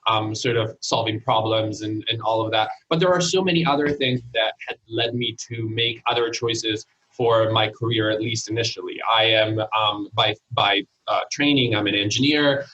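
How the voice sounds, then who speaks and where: A man's voice: on the low side, normal speed, hardly any pauses, pushed and bright, muffled recording. A man from Italy whose first language is Italian, speaking English with an Italian accent as a guest in a casual interview, thoughtful and hesitant with many fillers. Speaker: guest entrepreneur.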